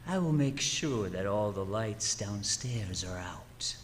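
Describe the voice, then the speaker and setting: A man's voice: lowish voice, animated, slow pace. A man playing a cool, obedient hired killer in an old-time radio suspense drama. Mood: cool, matter-of-fact.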